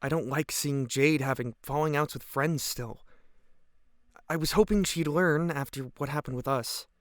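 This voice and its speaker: An actor playing an anxious, wistful high school boy, speaking hesitantly and earnestly in a naturalistic audio drama. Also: normal speed, dry recording, clean studio sound, natural voice.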